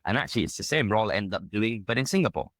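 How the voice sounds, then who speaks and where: A British man in his thirties with a low voice speaks at a brisk clip. He is a podcast guest telling personal stories casually and emphatically, peppering them with conversational tags. The recording is close and dry. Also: animated, fairly bright tone, no echo. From United Kingdom.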